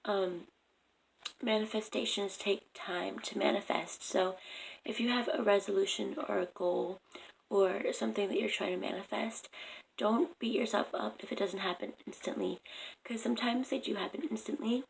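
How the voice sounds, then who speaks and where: Raspy young woman, half-whispered. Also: medium pitch, fairly even intonation, moderate pace, slight background noise, low-quality recording. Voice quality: raspy in the morning. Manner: soft, half-whispered morning talk. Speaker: vlogger. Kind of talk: casual advice vlog. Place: at home. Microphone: phone camera.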